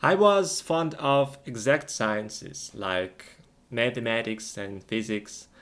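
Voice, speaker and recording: A man's voice: medium pitch, takes it slowly, fairly bright tone. A man co-hosting an English lesson, chatting through questions and answers in short, simple, plainly worded sentences. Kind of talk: conversational question-and-answer for learners.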